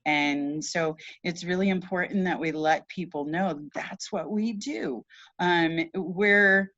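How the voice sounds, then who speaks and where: A woman with a fairly low voice talks casually about spiritual matters, recorded close and dry with a slightly dull, lo-fi sound. She is earnest and halting, repeating phrases for emphasis like a guide addressing listeners. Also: fairly bright tone, no echo. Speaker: radio show host and spiritual guide. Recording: dull, lo-fi audio.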